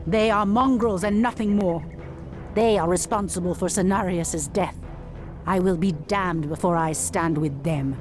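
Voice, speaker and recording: An actress playing a proud, resolute night elf warrior priestess in a fantasy game, speaking formally and firmly. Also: female voice, fairly low pitch, a little slow, natural voice. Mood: scornful. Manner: formal, earnest, firm dramatic delivery. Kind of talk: dramatic fantasy game acting. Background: loud music.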